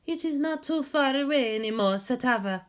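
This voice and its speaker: A narrator voicing a gentle, serenely respectful woman guide in an audiobook story. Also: sits high, expressive, brisk, pitched above the performer's own voice.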